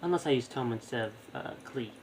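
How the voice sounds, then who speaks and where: A man's voice: mid-range voice, fast, a little hiss or hum. A man streaming a video game, thinking aloud in casual, rambling live commentary.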